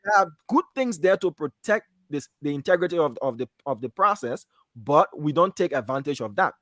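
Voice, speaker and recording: A man's voice: a little high, animated, normal speed, a bit bright, dry recording. Dry-sounding man explaining firmly. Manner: explanatory, firm, lecturing tone. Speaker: panelist. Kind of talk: panel discussion.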